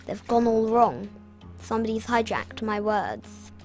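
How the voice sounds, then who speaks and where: A woman's voice: a little high, very animated, a little slow, a little mellow, faint music behind. A young British woman chatting casually through her gameplay, rambling with self-mocking asides. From Britain. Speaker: gaming channel host.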